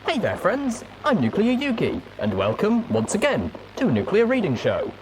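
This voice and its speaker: Very animated male show host. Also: sits high, moderate pace, noisy background. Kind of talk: upbeat radio-DJ style show intro.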